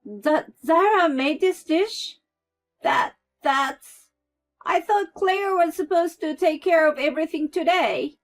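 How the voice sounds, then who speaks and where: A woman voicing a spiteful older mother-in-law who scolds in a shrill, loud voice. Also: animated, takes it slowly, faint music behind. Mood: flustered.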